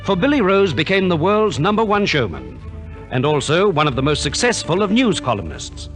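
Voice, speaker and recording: A male narrator reads in vintage 1950s radio style. His voice sits a little high and moves theatrically through each phrase with plenty of variation. Faint music plays behind him. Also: wildly swooping pitch, normal speed.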